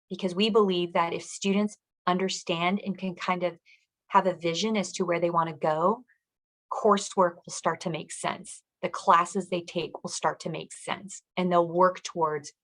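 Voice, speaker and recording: Slightly low-voiced woman giving an informational walkthrough. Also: a bit bright, low-quality recording. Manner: explanatory, instructional. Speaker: school district college and career readiness director, presenter. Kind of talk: informational presentation walking through web pages.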